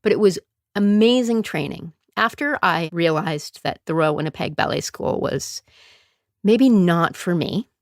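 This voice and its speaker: A woman hosts her podcast in a chatty, rambling way, poking fun at herself and laughing often. Her voice sits a little low. It is recorded close and dry. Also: no echo. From Calgary, Canada. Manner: chatty, self-deprecating, digressive, laughs often. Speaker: podcast host and performer. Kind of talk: casual solo podcast monologue.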